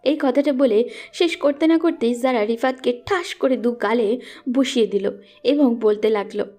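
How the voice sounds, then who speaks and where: A woman's voice: fairly high pitch, moderate pace, dark tone. A woman reading scripted narration for a romantic story as its narrator.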